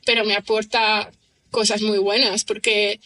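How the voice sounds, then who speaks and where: Bright-voiced woman musing aloud. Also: mid-range voice, little pitch movement, a little slow. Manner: thoughtful, rambling, frequent tag questions and fillers. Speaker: guest writer and screenwriter. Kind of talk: conversational podcast interview.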